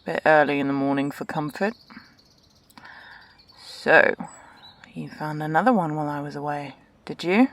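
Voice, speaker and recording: Low-voiced, dry, world-weary woman scientist, acted. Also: natural voice. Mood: dry, composed. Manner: dry, measured, unhurried. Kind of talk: audio roleplay drama.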